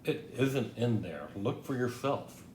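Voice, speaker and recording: A woman acting a touchy, eccentric man at a store counter, very deep in pitch and stubbornly insistent. It is comic stage dialogue in an echoey space with faint noise. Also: lively intonation, slow, a little mellow, full character voice. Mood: stubborn, sullen. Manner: insistent, indignant. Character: stubborn, touchy, eccentric male bookstore customer. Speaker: actor in a student play. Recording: roomy echo, low rumble, faint noise.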